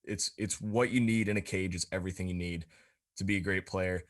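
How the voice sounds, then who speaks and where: Guy with a really low voice just rambling casually as a podcast cohost. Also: steady tone, brisk. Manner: informal, rambling. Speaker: podcast co-host.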